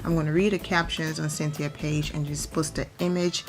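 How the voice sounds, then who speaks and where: A hoarse woman, nearly losing her voice, chatting through celebrity gossip as a vlogger in a rambling, filler-heavy way. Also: low voice, flat delivery, fairly quick. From Atlanta, Georgia. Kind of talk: casual gossip commentary.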